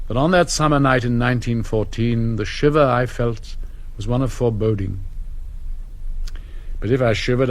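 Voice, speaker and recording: An older man playing a wistful upper-class gentleman recalling his gilded youth in a measured, elegiac stage monologue. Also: mid-range voice, natural voice. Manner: elegiac, patrician. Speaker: actor. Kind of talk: reflective memoir monologue in a stage play.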